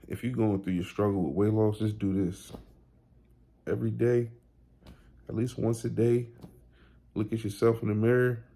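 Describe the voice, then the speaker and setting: A man's voice: on the low side, flat delivery, moderate pace, long pauses, warm tone. A man in his thirties making a casual motivational vlog, talking in a loose, repetitive, slangy way with lots of "you know". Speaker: weight loss vlogger.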